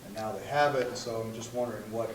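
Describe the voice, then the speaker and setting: A man's voice: lowish voice, fairly even intonation, fast talker. A man interviewing someone informally, with hesitant, roundabout phrasing. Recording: echoey, far from mic, faint noise.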